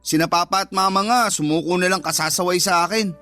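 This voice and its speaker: A brazen younger brother in a radio drama, his voice pitched extremely high. He is lazy and defiant, whining as he talks back. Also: quick pace, sharp tone, soft background music. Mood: defiant, exasperated. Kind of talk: Tagalog radio drama acting.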